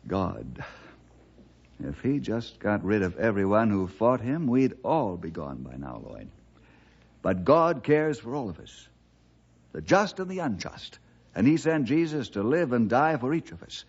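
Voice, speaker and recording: An actor playing a principled, plain-spoken western ranger in a radio adventure drama. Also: male voice, lowish voice, big pitch swings, a little slow, slightly rough, heavily acted. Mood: calm, earnest. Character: Bill, steady, principled western ranger hero. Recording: dull lo-fi radio recording.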